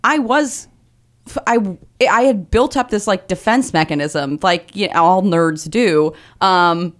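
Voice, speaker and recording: A woman in her thirties with a lower voice, chatting as an interview guest in a loose, self-deprecating way with many fillers. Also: unhurried. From Vermont Northeast Kingdom. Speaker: guest comedian. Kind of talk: casual interview conversation.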